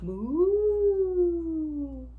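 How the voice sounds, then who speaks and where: A woman voicing a male talking pumpkin head from a children's storybook, trying to scare with loud, drawn-out cries. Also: very animated, narrow, tinny audio, full character voice. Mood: spooky. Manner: loud, drawn-out, high exclamations. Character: male talking pumpkin head, would-be scary, easily deflated.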